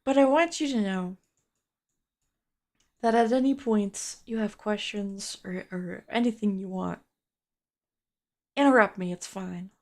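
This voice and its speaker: A young woman live-streaming from home, chatting with viewers while she draws, with a soft, quiet voice, playful and giggly, swearing freely, keyboard clicks heard at her close mic. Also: mid-range voice, unhurried, pauses often. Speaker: VTuber streamer. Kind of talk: casual live-stream chat while drawing. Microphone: close desk microphone, keyboard clicks audible.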